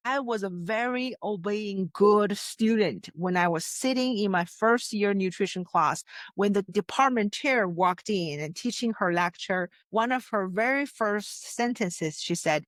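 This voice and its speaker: A woman's voice: medium pitch, unhurried, dry recording. Earnest woman from China, dry sound. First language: Chinese. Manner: earnest, emphatic storytelling with frequent restarts. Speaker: guest, success coach. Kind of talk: interview conversation.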